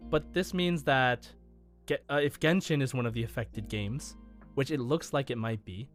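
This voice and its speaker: Casual male podcast host, medium pitch, explanatory and conversational, with music behind him. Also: moderate pace.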